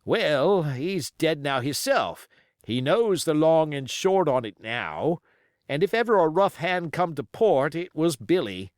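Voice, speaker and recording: A man voicing a rough, grumbling, bloodthirsty pirate coxswain in a storybook, with emphatic delivery and rough sailor grammar. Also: on the high side, big pitch swings, measured pace, no room sound, studio quality, pitched above the performer's own voice. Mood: approving, grim.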